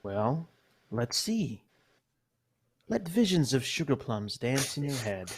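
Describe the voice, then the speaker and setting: A man playing a soft-spoken mother tucking her children into bed in a live old-time radio play reading. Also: mid-range voice, sing-song, takes it slowly, husky, dry recording, clean studio sound. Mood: soothing. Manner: soft, soothing bedtime delivery.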